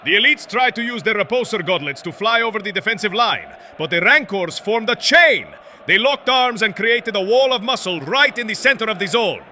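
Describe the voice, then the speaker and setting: A man playing a frantic undercity sports reporter, giving excitable, urgent live commentary in a drama. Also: high voice, normal speed, exaggerated voice. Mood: alarmed. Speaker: field reporter. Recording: crowd and noise behind.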